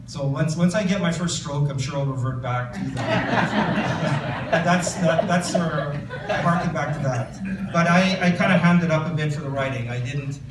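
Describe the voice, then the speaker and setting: A man's voice: a little high, moderate pace. A Canadian man, an author, reading from his book and then answering audience questions at a bookstore event, with many fillers and restarts. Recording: roomy distant recording with background noise.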